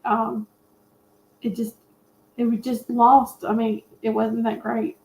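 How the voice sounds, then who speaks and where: A woman's voice: fairly high pitch, level tone, slow, vocal fry. A woman co-hosting a casual movie discussion, speaking informally with folksy phrasing. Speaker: movie podcast co-host. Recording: echoey room sound.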